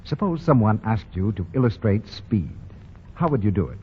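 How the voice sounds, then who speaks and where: A male radio announcer delivering a polished, emphatic sponsor pitch in 1940s broadcast style. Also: big pitch swings, normal speed. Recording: dull, noisy 1940 radio recording.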